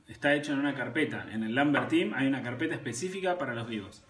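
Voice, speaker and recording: A man's voice: mid-range voice, fast. A man, giving brief, offhand replies from off camera, away from the mic. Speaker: helper off camera. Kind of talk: casual chat. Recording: distant off-mic voice in an echoey room with faint music.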